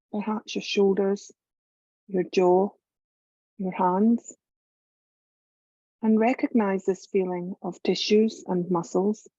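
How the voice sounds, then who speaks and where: A woman leading a guided meditation as a yoga teacher, calm and measured, giving gentle instructions with long pauses. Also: mid-range voice, dry recording, lo-fi sound.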